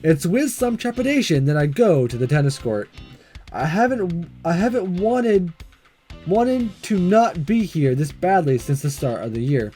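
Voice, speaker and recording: Guy reading a visual novel out loud, higher voice, really lively, music behind. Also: a little slow. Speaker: gaming video host reading a visual novel aloud. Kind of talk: animated read-aloud narration with casual commentary.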